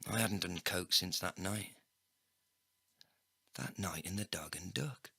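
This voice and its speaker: Low, creaky-voiced male storyteller. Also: slow pace, sharp tone, no echo, clean studio sound. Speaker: narrator in character. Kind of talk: scripted first-person comedy story narration.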